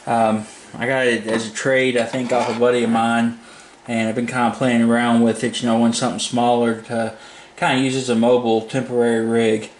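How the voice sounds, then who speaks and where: Flat-toned man in an echoey room. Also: mid-range voice, a little fast. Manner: plain-spoken, informal, rambling. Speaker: hobbyist product reviewer. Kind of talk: casual unscripted demo talk. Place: indoors at a home desk. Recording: echoey room, faint background noise.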